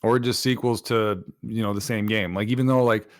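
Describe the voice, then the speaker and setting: A man's voice: fairly low pitch, fairly even intonation, brisk, no echo. Casual, opinionated man on a dry mic. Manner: swearing, opinionated. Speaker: podcast co-host. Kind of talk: casual panel chat about video games.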